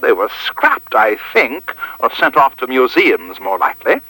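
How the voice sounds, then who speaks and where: A man's voice: medium pitch, sing-song, unhurried, sharp tone, breathy edge, dry recording, exaggerated voice, rough recording. A man voicing a polite, doubtful RAF meteorologist on the telephone, precise and apologetic.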